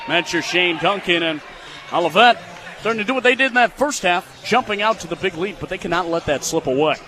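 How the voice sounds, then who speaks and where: A young American man calling live basketball on radio as play-by-play broadcaster, in clipped phrases and excitable on big plays. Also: sits high, brisk. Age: young adult. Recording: clearly noisy live sports venue sound.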